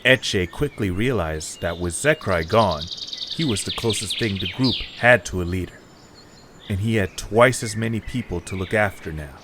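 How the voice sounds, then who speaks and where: A man narrating an audio drama in a calm, even, scripted way. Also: fairly low pitch, moderate pace, noisy background.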